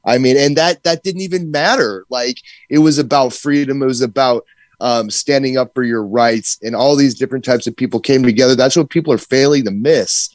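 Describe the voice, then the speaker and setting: A man's voice: mid-range voice, fairly quick, dry recording. A man co-hosting a livestream, talking casually and giving political opinions. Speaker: livestream co-host.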